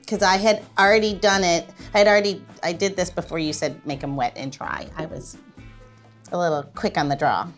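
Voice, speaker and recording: A woman podcast co-host chatting casually, bubbly and quick to laugh as she reacts to her co-host. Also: on the low side, faint music behind.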